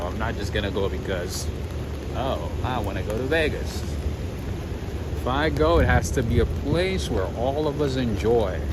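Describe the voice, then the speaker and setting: A man hosting a night-time walking livestream outdoors in a city, chatting casually with his viewers. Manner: chatty, addresses viewers, lively swings in pitch. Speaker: livestream walking-tour host. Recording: loud street noise. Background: loud city traffic noise.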